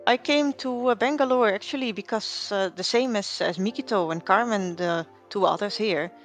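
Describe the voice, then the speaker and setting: A woman's voice: medium pitch, moderate pace, faint music behind. A woman telling her roleplay character's backstory, rambling with fillers and restarts. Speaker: roleplay player.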